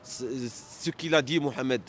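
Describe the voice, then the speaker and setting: A man whose first language is Arabic, speaking French as a second language in a street debate, halting and insistent, often repeating words. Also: mid-range voice, steady tone, fairly bright tone. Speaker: street interviewee.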